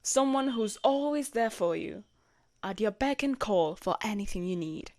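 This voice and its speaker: A woman's voice: mid-range voice, lively intonation, normal speed, darkish tone, no room sound, studio quality, natural voice. A woman playing a devoted, lovestruck knight, shy and conflicted, in an intimate romantic audio roleplay, speaking hesitantly and tenderly. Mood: dutiful, reserved. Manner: hesitant, tender, breathy whispers at times.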